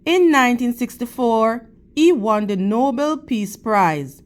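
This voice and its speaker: A woman reading a picture book aloud to children like a teacher, in deliberate, measured phrases. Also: on the high side, lively intonation. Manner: deliberate, measured phrasing with questions and praise addressed to young listeners.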